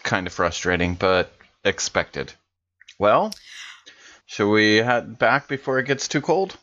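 Slightly muffled audio carries a man acting a laid-back young man in a tabletop roleplay. The voice sits low, and the delivery is relaxed, casual and wry. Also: slow pace.

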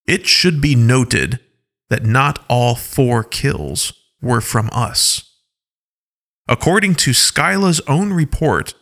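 A man voicing a deliberate, measured wolf-tribe leader who explains carefully. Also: mid-range voice, fairly bright tone.

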